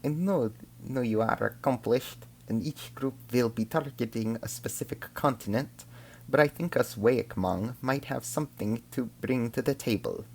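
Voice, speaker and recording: A man's voice: medium pitch, normal speed, gravelly, pitched above the performer's own voice, low-quality recording. A man voicing an earnest, persuasive alien comrade in a science fiction audio drama. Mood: earnest, urging. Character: Thexer, earnest alien comrade offering help to his friend.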